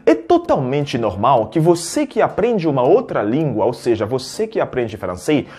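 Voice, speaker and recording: A man's voice: mid-range voice, wildly swooping pitch, normal speed, dark tone, slightly rough, soft background music. A man who grew up speaking teaches a language lesson in Portuguese, explaining step by step and checking in with the learners. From a French-speaking country. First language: French. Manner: explanatory, keeps checking in with "beleza?" and rephrasing with "ou seja". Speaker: French teacher.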